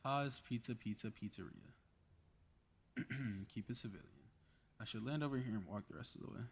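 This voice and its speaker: A man's voice: mid-range voice, moderate pace, breathy edge. A man playing a cocky, playful superhero in a flirty ASMR roleplay audio drama, with casual teasing banter. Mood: muttering, self-doubting.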